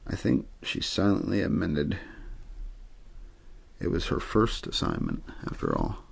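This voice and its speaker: A man reading a story aloud as an amateur ASMR narrator, in a low-key, untheatrical way with the odd stumble. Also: huge pitch swings, a little slow, creaky, husky. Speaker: amateur audiobook reader.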